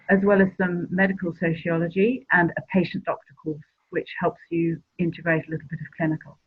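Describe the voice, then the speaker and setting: A woman's voice: fairly low pitch, slightly rough. A middle-aged woman, a longtime medical tutor, explaining the course steadily with a few ums. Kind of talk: virtual open day presentation. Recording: tinny phone-like call audio.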